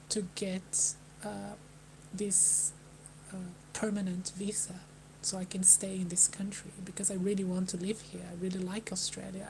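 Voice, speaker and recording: Young woman, from Brazil, hesitant, flat monotone, hum and noise behind. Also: medium pitch, gravelly. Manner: hesitant, halting, with many restarts and sighs. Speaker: livestreamer. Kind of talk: personal talk to a live chat. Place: Melbourne, indoors.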